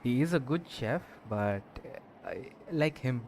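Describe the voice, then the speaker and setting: A man giving casual gameplay commentary in a hesitant, halting way. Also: medium pitch, animated, background noise. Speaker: game streamer. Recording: game music behind the voice.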